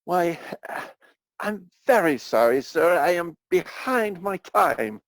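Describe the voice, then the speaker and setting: A man's voice: high-pitched, lively intonation, slow pace, no echo. A man playing a meek, apologetic clerk in a radio play, hesitant and deferential in his speech.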